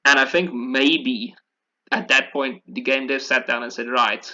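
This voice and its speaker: Man, bright forceful voice, casual podcast host, rambling explanations, slightly dull audio. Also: mid-range voice, flat delivery, measured pace. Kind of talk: casual video game history discussion.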